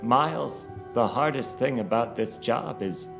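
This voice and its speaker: An actor playing a seasoned hero calmly advising a younger one in a video game cutscene. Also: male voice, medium pitch, unhurried, vocal fry, music in the background. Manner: calm, mentoring. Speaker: game character. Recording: game cutscene with loud score behind.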